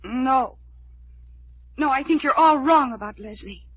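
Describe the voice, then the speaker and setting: An actress playing an anxious, stubborn young heiress with an earnest, fretful delivery, in old-time radio drama. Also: female voice, high voice, unhurried, husky, no room sound. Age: young adult. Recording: dull, noisy old radio recording.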